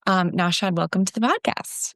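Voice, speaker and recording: A woman's voice: fairly low pitch, normal speed, vocal fry, a bit bright, no echo, studio quality. A woman hosting a podcast, chatting casually with frequent ums. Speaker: podcast host.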